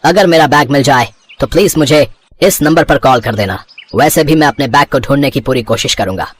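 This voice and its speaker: A man's voice: medium pitch, a little fast, a little hiss or hum, close to an everyday voice. An actor playing a polite, earnest foreign businessman in a cartoon moral story, hesitant and mixing in English phrases. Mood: grateful.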